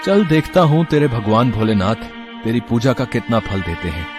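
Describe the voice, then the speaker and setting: A man playing a poor, disheartened village husband in an animated moral story, with a weary, plaintive manner. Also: mid-range voice, moderate pace, natural voice. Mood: doubting, dejected. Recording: music under the voice.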